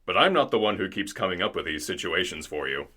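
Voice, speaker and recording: A man playing a flustered, theatrical radio drama narrator, halting and dramatic as he pleads. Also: sits low, big pitch swings, sharp tone, full character voice. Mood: pleading.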